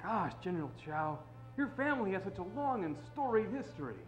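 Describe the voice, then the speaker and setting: A man's voice: sits high, sing-song, faint music behind, low-quality recording. A man playing an eager, admiring boy sidekick in an old-time radio play, gushing. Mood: awed. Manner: gushing, rapid.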